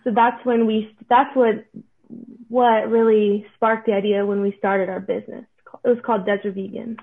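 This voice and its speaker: A shy, self-deprecating young woman in her late twenties from Florida, a guest chatting casually on a podcast in halting speech. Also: medium pitch, little pitch movement. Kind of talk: casual chat. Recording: tinny phone-like line.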